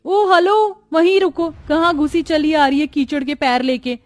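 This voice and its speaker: A woman voicing a haughty, spoiled young daughter-in-law in a cartoon story, shrill, snapping and taunting. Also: fairly even intonation, measured pace. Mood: angry, snapping.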